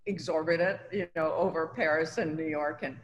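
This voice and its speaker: A woman's voice: deep voice, fairly even intonation, moderate pace, highs cut off. Older woman in a reverberant room, reminiscing. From Tunisia. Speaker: artist being interviewed. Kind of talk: conversational personal recollection. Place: indoors in an art studio. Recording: reverberant room, faint background noise.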